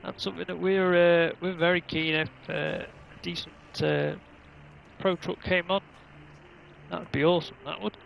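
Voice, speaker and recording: A man's voice: high voice, level tone, normal speed, vocal fry, fairly bright tone, a little hiss or hum, poor audio. A man commentating casually on an online racing livestream, rambling along in an easygoing, hesitant way.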